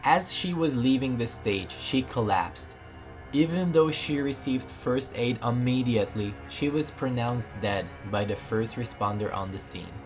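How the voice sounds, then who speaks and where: A man reading a scripted voiceover narration with lively storytelling delivery. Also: mid-range voice, unhurried, over music, rough recording.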